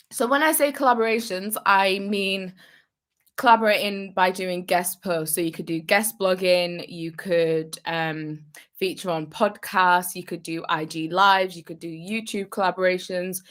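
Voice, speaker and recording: A woman's voice: on the low side, steady tone, unhurried, a bit bright. A young adult woman, a business coach, giving upbeat, encouraging advice on a live vlog and repeating phrases for emphasis.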